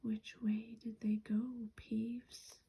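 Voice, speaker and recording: A woman's voice: a little high, flat delivery, drawn-out words, creaky, mellow, husky, full character voice. Filch, an impatient old caretaker in a woman's whisper. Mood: demanding. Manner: whispered, demanding.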